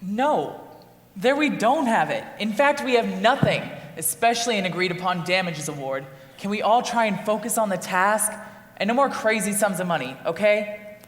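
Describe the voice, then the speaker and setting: A woman playing a brisk, impatient juror keeping order in an amateur stage play. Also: mid-range voice, animated, natural voice. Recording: echoey hall, long reverb.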